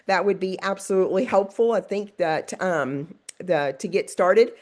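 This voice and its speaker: A woman hosts a relaxed online call. She sounds stuffed up from a cold and speaks haltingly, filling pauses with ums. Her pitch sits in the middle range. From Oklahoma. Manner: hesitant, many ums. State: stuffed-up nose. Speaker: call host. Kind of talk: casual video call.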